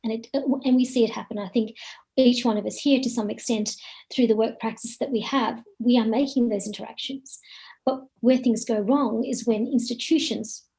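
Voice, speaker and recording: Mellow-voiced woman, earnest panelist. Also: a little high, fairly even intonation, moderate pace, poor audio. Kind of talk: panel discussion.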